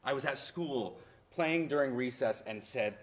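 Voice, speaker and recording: An actor playing a history professor, the grown son of deported immigrants, reminiscing in a theatrical monologue that swings from hushed to loud. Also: male voice, mid-range voice, a little slow, lightly acted. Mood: wistful. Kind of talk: dramatic monologue in a staged play reading.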